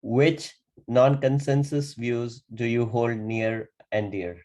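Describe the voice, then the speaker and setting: A man co-hosting a podcast, asking questions and reading the outro in a measured way. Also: mid-range voice, steady tone, mellow. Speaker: podcast co-host. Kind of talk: interview questions and scripted outro.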